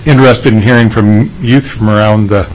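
A man chairing a legislative committee hearing, talking in a folksy, halting way with many fillers. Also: lowish voice, fairly even intonation, slight background noise, low-quality recording.

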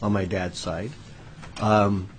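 A man's voice: on the low side, level tone, slow, breathy edge. A legislator at a hearing, a man who questions a witness with frequent restarts and repeated words. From United States. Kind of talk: formal hearing testimony.

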